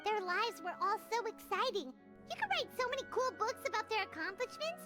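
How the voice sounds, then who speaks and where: A woman voicing a tiny, excitable, childlike fairy companion in a video game, wide-eyed and exclamatory. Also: very high voice, brisk, over music. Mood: curious, amazed.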